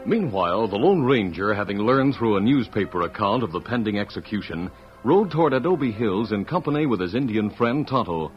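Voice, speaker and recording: Male announcer, American, theatrical and emphatic, lively pitch, dull old radio sound. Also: brisk. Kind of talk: dramatic old-time radio narration. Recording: muffled vintage radio recording with music.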